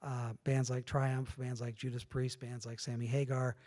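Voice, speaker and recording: A man's voice: medium pitch, moderate pace. A middle-aged man telling stories as an interview guest in a casual way, acting out bits of dialogue as he goes. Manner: lively storyteller who acts out dialogue. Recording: long room reverb.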